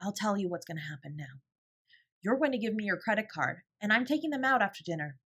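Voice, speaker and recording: A woman's voice: mid-range voice, very animated, fast, a bit bright. A woman playing a fiercely protective older sibling confronting a parent in an audio drama, scolding and emphatic with a sarcastic edge. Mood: stern, commanding. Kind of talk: dramatic audio roleplay acting.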